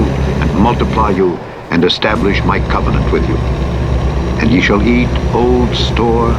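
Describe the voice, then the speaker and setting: A man with a low voice narrates scripture in a solemn, formal register. His delivery is measured and declamatory. Loud truck engine and road noise runs behind him all the time. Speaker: Bible narrator. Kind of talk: solemn scripture reading from the King James Bible. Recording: loud vehicle engine noise over audiobook playback. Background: truck simulator engine and road noise.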